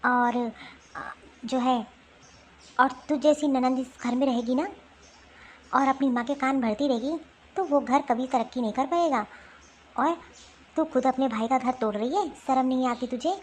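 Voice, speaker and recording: Woman playing a high-pitched scolding woman in a family cartoon. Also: normal speed, pauses often, slight background noise, natural voice. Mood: indignant. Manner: scolding, indignant. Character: angry, sharp-tongued Indian women quarrelling in a family feud. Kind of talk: Hindi family-drama cartoon dialogue.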